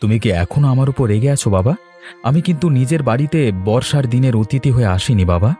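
A man's voice: medium pitch, expressive, fast, warm tone, faint music behind, close to an everyday voice. A man voicing an earnest, conciliatory adult son in a cartoon family drama.

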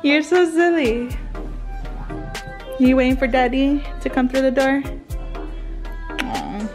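A woman's voice: high voice, sing-song, slow, music in the background. A young woman chatting to her camera at arm's length in her home kitchen, and talking baby talk to her toddler. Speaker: vlogger. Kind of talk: casual home cooking vlog. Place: home kitchen and living room, indoors. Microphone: handheld camera at arm's length.

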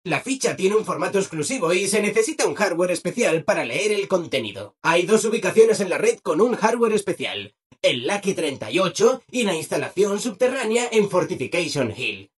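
A man's voice: high voice, sing-song, moderate pace, slightly rough, exaggerated voice. A man with a Castilian Spanish accent voicing a relentlessly cheerful, eager-to-please robot assistant in a video game, with bubbly, exaggerated enthusiasm. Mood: eager explaining. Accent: Castilian Spanish, from Spain. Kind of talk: dubbed video game dialogue.

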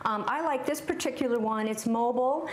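A woman's voice: a little high, fairly quick. A woman in her forties giving practical, conversational advice as a guest expert on live television, indoors in a large room. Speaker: professional organizer, guest expert. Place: large hard-surfaced laundry room indoors. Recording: roomy echo with background noise.

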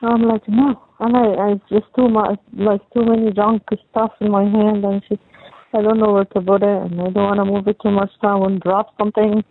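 A young adult woman chatting casually on a phone call, talking in a halting, rambling, repetitive way. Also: medium pitch, little pitch movement. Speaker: caller talking with partner. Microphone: telephone call. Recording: tinny phone line with faint noise.

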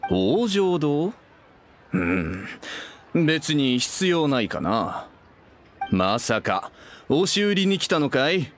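A man's voice: fairly high pitch, sing-song, takes it slowly. A man playing a busy, coolly polite young man in an anime game, offhand and dismissive. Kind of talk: Japanese anime game voice acting. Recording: faint background noise and ambience.

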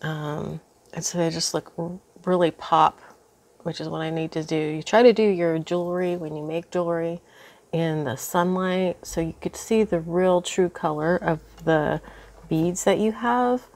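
A woman's voice: fairly low pitch, a little slow, darkish tone. Congested woman chatting over beading. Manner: chatty, thinks aloud with many ums. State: congested from allergies. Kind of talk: casual crafting tutorial. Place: indoors at a craft table.

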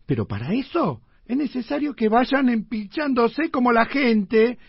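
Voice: medium pitch, very animated, measured pace, vocal fry, breathy edge, no echo, muffled recording, heavily acted. An actor playing a cocky, stubborn tenement man in a comic radio play, slangy and emphatic, with an Argentine accent. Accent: Argentine, Buenos Aires slang.

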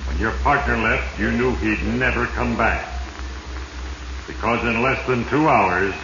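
An actor playing Fate, a sinister, solemn narrator who speaks deliberately and ominously in a 1940s radio drama. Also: male voice, mid-range voice, highs cut off, natural voice. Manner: heavy-handed, ominous, deliberate. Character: Fate, sinister, solemn, all-knowing male narrator addressing the doomed. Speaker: narrator and series host. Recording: echoey, noisy 1940s radio recording.

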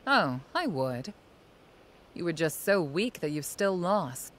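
A woman's voice: lowish voice, very animated, slow, a little hiss or hum. A woman voicing a proud, stern young female general in a video game, formal and commanding in manner. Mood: cool taunting.

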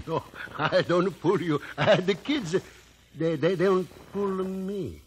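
An actor playing a kindly but firm shopkeeper with an Italian accent and emphatic broken English, in old-time radio drama style. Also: male voice, high voice, slow pace. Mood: reassuring. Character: kindly but firm immigrant shopkeeper, husband and papa figure. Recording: noisy vintage radio recording.

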